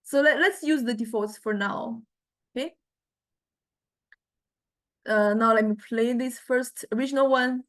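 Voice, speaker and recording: A woman lecturer giving an informal software demonstration, explaining haltingly and often checking in with listeners. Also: a little high. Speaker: university lecturer.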